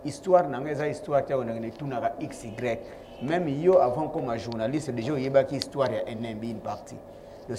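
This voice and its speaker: A man's voice: mid-range voice, background noise. A man from Kongo Central answering interview questions as a rapper, proud and assertive, speaking of himself by his stage name. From Kongo Central, DR Congo. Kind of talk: interview answers mixing Lingala and French.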